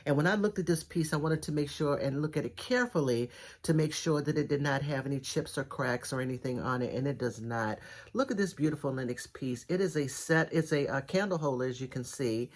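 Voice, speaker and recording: A middle-aged woman with a raspy, congested voice, chatting enthusiastically through a thrift haul video. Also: sits low, a little fast, darkish tone. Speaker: thrift reseller vlogger. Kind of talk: casual haul video chat.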